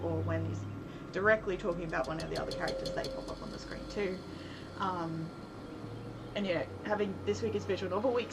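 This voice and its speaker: A woman streamer and game developer chatting casually on a livestream, thinking aloud in a rambling way with soft laughs. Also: mid-range voice. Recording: loud background noise, vehicle sounds.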